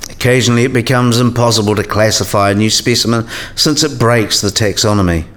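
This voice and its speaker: A man's voice: on the low side, a little fast, fairly bright tone, close to an everyday voice. A man playing a learned professor in a radio play, explaining science history in a precise, didactic way. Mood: measured, instructive.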